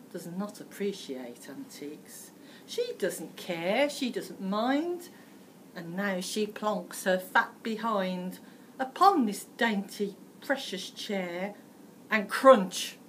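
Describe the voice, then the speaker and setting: A woman's voice: mid-range voice, poor audio. A British woman reading a rhyming storybook aloud like a teacher, theatrical and emphatic, with some background noise. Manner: theatrical, emphatic, deliberate phrasing. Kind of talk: dramatic rhyming storybook narration.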